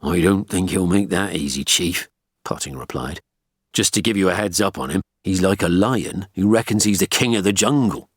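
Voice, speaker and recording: A man's voice: low-pitched, normal speed, breathy edge, no echo, clean studio sound. A man voicing a blunt but deferential police detective in an audiobook, a little awkward with his boss. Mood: wry.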